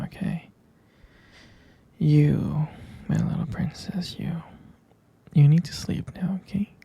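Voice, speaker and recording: Man playing a slow, breathy, whispering boyfriend. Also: fairly high pitch, mellow, no echo, full character voice. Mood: tender, soothing. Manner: slow, hesitant, murmured pet names. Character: doting, teasing vampire boyfriend, tender and protective. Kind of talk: boyfriend ASMR roleplay.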